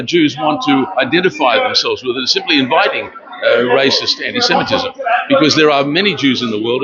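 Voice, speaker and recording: An older man born in Romania, giving an earnest street interview at an outdoor protest, speaking haltingly with many fillers. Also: medium pitch. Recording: dull lo-fi with loud outdoor noise.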